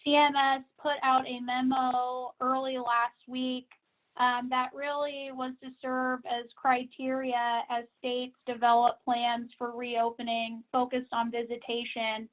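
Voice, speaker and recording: A woman giving a measured, businesslike informational briefing to members over a conference call, on a tinny phone line. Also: high voice, flat delivery. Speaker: association staff member giving member updates.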